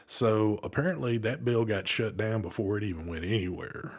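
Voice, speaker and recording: A man's voice: fairly low pitch, moderate pace, husky. A man hosting a live vape show in casual chat, laid-back and swearing freely.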